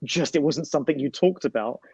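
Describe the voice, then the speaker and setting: A man's voice: fairly high pitch, fairly quick, creaky, a little mellow, slightly rough, no echo. A man making long, articulate, wry points in a panel discussion. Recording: dry, muffled lo-fi sound.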